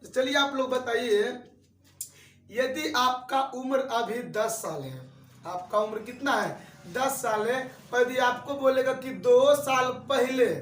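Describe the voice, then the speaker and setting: A man's voice: extremely high pitch, a bit bright, roomy sound, distant mic, slight background noise. A man in his twenties teaching math, who repeats each step and asks his students questions. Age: 20s. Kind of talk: classroom-style lesson.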